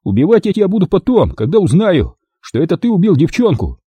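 Man acting a rowdy bellowing tough guy, pitched high. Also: very animated, a little fast, dark tone, husky, no room sound, exaggerated voice. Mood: menacing. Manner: bellowing, cursing, mocking. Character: gleeful, foul-mouthed brawling tough man.

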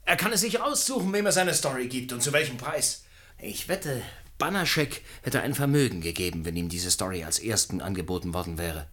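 An actor playing a cocky, ambitious newspaper reporter with a persuasive manner in a radio play. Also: male voice, medium pitch, animated, brisk, bright, forceful tone. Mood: fervent, boastful. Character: glib, ambitious, debt-ridden newspaper reporter.

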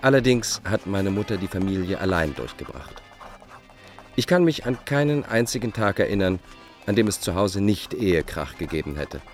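A man's voice: low voice, expressive, normal speed, soft background music. An actor playing a bitter, self-justifying Dutch fugitive in a radio drama, setting out his letters in a formal, pedantic way. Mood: bitter, insistent. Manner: formal, pedantic, enumerating point by point. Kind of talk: radio drama monologue, letters read aloud.

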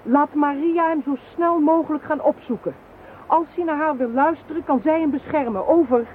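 Woman doing a brisk sharp police inspector, commanding, dry sarcasm, thin tinny radio-play sound. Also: sits high, slight background noise, natural voice. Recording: tinny lo-fi old radio recording.

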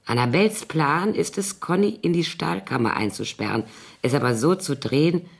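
Casting: a scheming, boozy old nanny, gravelly and raspy, theatrical and brooding, for a radio thriller. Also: female voice, low voice, animated, full character voice. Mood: grim, secretive. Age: older. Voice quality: husky, creaky. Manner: brooding, halting, theatrical delivery dropping into whispers. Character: tipsy, scheming old former nanny writing a confession. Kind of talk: radio crime drama acting.

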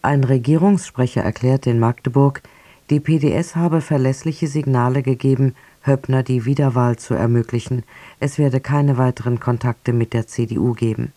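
A man reading the radio news in a measured, formal manner. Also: medium pitch, fairly even intonation, dark tone, dry recording. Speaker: newsreader.

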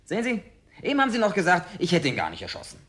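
A man's voice: high-pitched, wildly swooping pitch, racing, pushed and bright, heavily acted. An actor playing Jim, a boyish, eager man in a German radio drama, boastful and evasive, talking in an insistent, colloquial way. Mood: defensive. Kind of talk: German radio drama acting, naturalistic dialogue.

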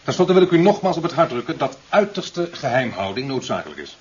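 An actor playing a resolute, stern president in a 1970s Dutch radio play, with a solemn, commanding, oratorical delivery. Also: male voice, medium pitch, sing-song, quick pace, darkish tone, lightly acted. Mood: firm. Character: stern, resolute male president addressing officials. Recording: dull, hissy 1970s radio recording.

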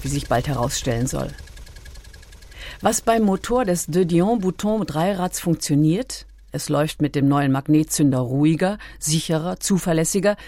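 A woman reading a scripted documentary narration with measured, dramatically phrased storytelling. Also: deep voice, dark tone, no echo. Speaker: audiobook narrator.

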